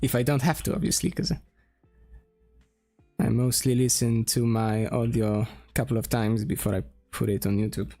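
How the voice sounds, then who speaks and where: A man from Poland with a Polish accent, talking casually as he draws on a stream, slowly thinking aloud with pauses and restarts. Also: medium pitch, steady tone, warm tone, faint music behind. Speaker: streamer and game developer. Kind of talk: casual drawing stream talk.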